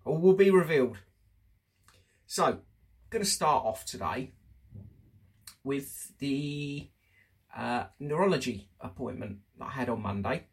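Husky-voiced man giving a candid update. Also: mid-range voice, lively intonation, slow, pauses often. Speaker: host of a personal health show. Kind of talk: casual spoken diary update.

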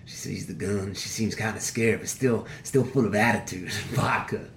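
Male actor doing a low-voiced, sneering, crude-talking convict; roomy echo, faint music under. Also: steady tone, normal speed, creaky, slight background noise. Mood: storytelling, cold. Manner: coarse drawling slang, sneering. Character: defiant male convict serving life, bitter and crude. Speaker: prison inmate. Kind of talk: dramatic dialogue acting.

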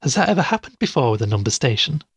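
A man's voice: mid-range voice, big pitch swings, fast, husky, dry recording, dull audio, exaggerated voice. A man acting as a sceptical, tired podcaster in an audio drama, speaking in a casual, understated way. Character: sceptical, tired male podcaster investigating a mystery.

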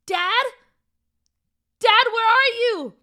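A woman's voice: fairly high pitch, animated, unhurried, pushed and bright, exaggerated voice. A woman voicing a spirited, eager young boy in a story, casual and exclamatory. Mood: hopeful.

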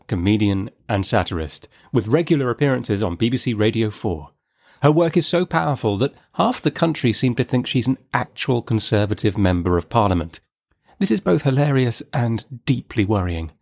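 A man's voice: lowish voice, a little mellow, no echo, studio quality. A man hosting a series, introducing a reading with light, joking asides. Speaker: series host. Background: faint music.

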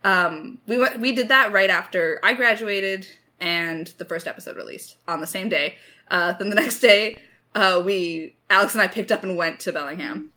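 A woman in her twenties chatting casually as a writer in a creator Q&A, candid and enthusiastic, quick to laugh. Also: mid-range voice, bright, forceful tone. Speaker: audio drama writer in a creator Q&A. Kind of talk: casual chat.

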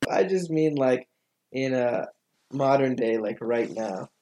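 A man talks casually as a guest on a podcast. His pitch is middling, and his delivery is informal and loosely worded, feeling its way through each thought. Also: takes it slowly, mellow, slight background noise, poor audio. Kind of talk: casual chat.

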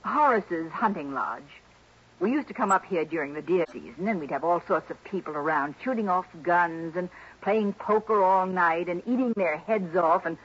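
An actress playing a chatty, warm-hearted, middle-aged wealthy hostess in a 1960s radio drama, with a breathy voice. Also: female voice, fairly low pitch, lively intonation, normal speed. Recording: dull lo-fi 1960s radio broadcast.

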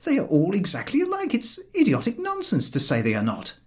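A man voicing an exasperated, irritable gentleman in a comic audiobook, with an indignant, blustering, emphatic manner. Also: sits high, huge pitch swings, a little fast, voice pushed up. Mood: angry, insistent. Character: exasperated, irritable gentleman, quick to take offence.

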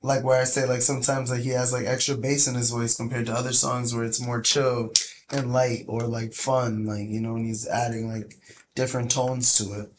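A man co-hosting a podcast, explaining his point in a rambling, animated way. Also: medium pitch, normal speed, a little hiss or hum. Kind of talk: casual podcast chat.

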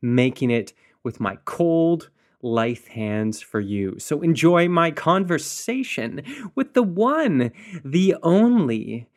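A man's voice: a little high, big pitch swings, slow pace, darkish tone. Playful male podcast host. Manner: playful, teasing, theatrical asides. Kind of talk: podcast intro and casual interview.

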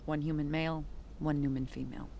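An actress in an audio drama playing a world-weary female police detective, terse and understated, with a low voice. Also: steady tone, quick pace, gravelly, mellow, slight background noise. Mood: businesslike, grim.

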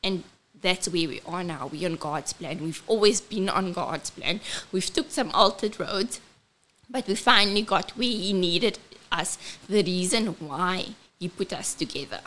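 A South African woman with a squeaky, childlike voice, speaking earnestly as a ministry host and switching between English and Afrikaans. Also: a little slow, a bit bright. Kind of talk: personal testimony and event announcement.

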